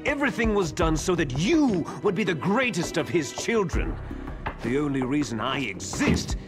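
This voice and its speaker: Game villain: bitter soldier twin, smug menace, higher-set male voice with dramatic swings, music behind. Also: sing-song. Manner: theatrical, smug, drawn-out menacing delivery. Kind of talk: dramatic video game cutscene acting.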